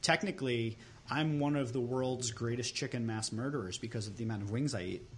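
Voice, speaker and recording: A man in his thirties from Long Island, hosting a podcast and telling deadpan tall tales in casual chat. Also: medium pitch, fairly quick, a little hiss or hum. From Long Island, New York. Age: 30s. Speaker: podcast host.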